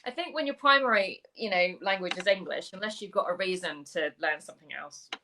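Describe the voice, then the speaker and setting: A woman hosts a casual video chat with an author. She is effusive and enthusiastic, speaking in a fairly low voice. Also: a little fast, crowd noise. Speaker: host.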